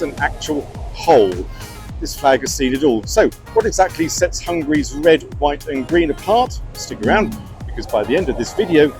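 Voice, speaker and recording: A man's voice: fairly high pitch, a little mellow. A man hosting a video, narrating a script with a playful, jokey delivery. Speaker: video host.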